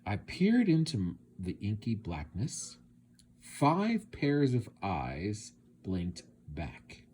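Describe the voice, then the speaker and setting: A man reading a children's storybook aloud as a teacher, with dramatic and deliberate phrasing. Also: on the low side, huge pitch swings, darkish tone, slightly rough. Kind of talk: expressive children's storybook narration.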